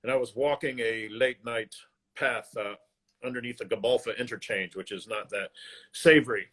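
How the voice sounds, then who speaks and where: A man's voice: mid-range voice, fairly even intonation, normal speed, fairly bright tone. American man telling a story. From United States. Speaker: guest. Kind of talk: casual storytelling.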